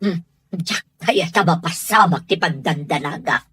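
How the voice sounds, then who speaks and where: A woman's voice: low voice, a little slow, bright, forceful tone, breathy edge, exaggerated voice. A woman playing a haughty, anxious middle-aged mother who scorns servants, in an Ilocano radio drama. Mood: worried, indignant.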